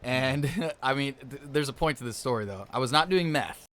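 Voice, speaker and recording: A man's voice: medium pitch, expressive, normal speed, fairly bright tone. A man in his thirties co-hosting a podcast, telling stories in an easygoing, laughing way. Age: 30s. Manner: easygoing storyteller, laughs while he talks. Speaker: podcast co-host. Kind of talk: casual chat.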